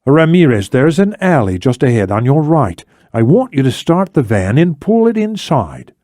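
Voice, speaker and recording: A man's voice: mid-range voice, sing-song, normal speed, dark tone, no echo, clean studio sound, voice pushed up, lightly acted. A man voicing a determined female operative who holds firm under pressure in a thriller audiobook. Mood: firm, threatening.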